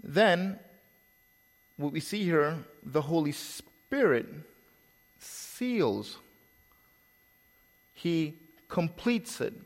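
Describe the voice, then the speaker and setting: A man from El Salvador with a slight Salvadoran Spanish accent, preaching a sermon in a relaxed storytelling way, with pauses and repeated words. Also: on the high side, big-room sound.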